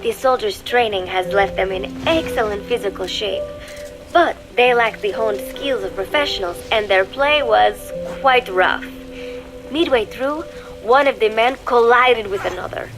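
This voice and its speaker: A woman's voice: fairly high pitch, a little slow, over music. An actress playing a prim, secretive young woman in a video game, narrating an audio diary in a composed, precise, faintly disdainful way. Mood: measured, detached.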